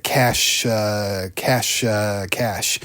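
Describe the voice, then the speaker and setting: Male film-podcast co-host, deadpan jokes, trailing delivery, dry close sound. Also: on the low side, drawn-out words, no room sound. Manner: dry, jokey, trailing off quietly. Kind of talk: casual film podcast chat.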